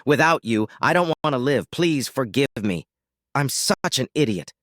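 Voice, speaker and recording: A man's voice: mid-range voice, animated, normal speed, fairly bright tone, no room sound, studio quality, natural voice. A man voicing a distraught, pleading wife who stammers and gasps through her words. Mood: tearful, pleading.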